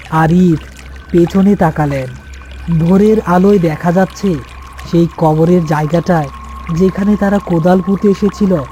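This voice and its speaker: A man's voice: a little high, dark tone. A man narrating a scripted horror cartoon story.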